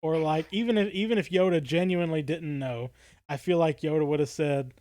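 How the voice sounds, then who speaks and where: Guy co-hosting a podcast, voice a bit high, casual fan chat, dry sound no room. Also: brisk, darkish tone, no room sound. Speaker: podcast co-host.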